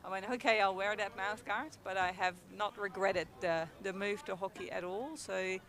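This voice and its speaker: A woman's voice: medium pitch, steady tone, normal speed, fairly bright tone. A young Dutch woman with a Dutch accent, chatting casually as a guest commentator at a hockey game, with lots of ums and laughs. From Netherlands. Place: outdoors at a field.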